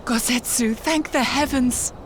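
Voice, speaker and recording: A woman's voice: on the high side, unhurried, a little hiss or hum, natural voice. An actress playing a composed, loyal woman warrior in a video game, serious and formal in speech. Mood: relieved. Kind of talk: English video game cutscene acting.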